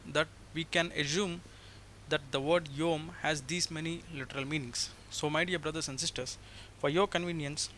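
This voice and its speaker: A man's voice: fairly high pitch, pushed and bright. A man in his twenties with an Indian accent, explaining scripture in a formal, didactic way and repeating key phrases. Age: 20s. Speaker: religious teacher answering criticism. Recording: faint background noise.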